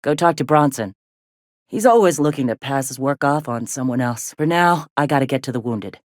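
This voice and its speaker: A woman's voice: extremely low pitch, lively intonation, moderate pace, no room sound. Blunt folksy frontier medic lady from a video game, weary but principled, really dry close sound. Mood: firm. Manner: folksy, blunt, drawling slang with swearing. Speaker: video game character, medic and deputy leader.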